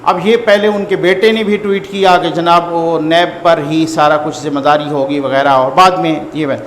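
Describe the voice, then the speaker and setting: A man with a Pakistani accent speaks in a high-pitched voice as an informal political news commentator. He is conversational and repeats phrases to stress them. The room is very echoey, with faint noise behind him. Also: steady tone, normal speed, fairly bright tone.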